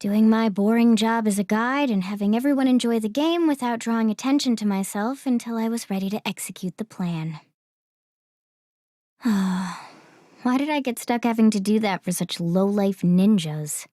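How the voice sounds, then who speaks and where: A woman's voice: medium pitch, normal speed, mellow, no room sound, clean studio sound, lightly acted. A woman playing a composed, condescending game guide who is secretly a villain, in an anime game dub. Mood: smug, bitter. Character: game guide girl revealed as smug, scheming villain. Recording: dramatic background music.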